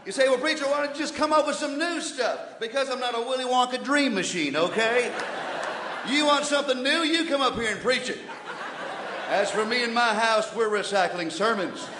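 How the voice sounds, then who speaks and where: An indignant, blustering preacher, played by a man, delivers a comic mock sermon in a high voice. The delivery is emphatic pulpit oratory that moves between grumbled asides and hollering. It rings through an echoey live hall with clear background noise. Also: moderate pace. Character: blustering, indignant old-fashioned revival preacher, male. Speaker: comic preacher on a variety stage show. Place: large indoor theatre stage before an audience.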